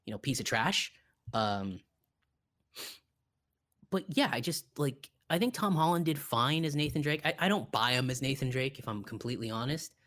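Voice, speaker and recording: A man's voice: medium pitch, a bit bright. Rambling man in casual film chat. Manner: rambling, halting, repeats himself for emphasis. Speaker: podcast co-host.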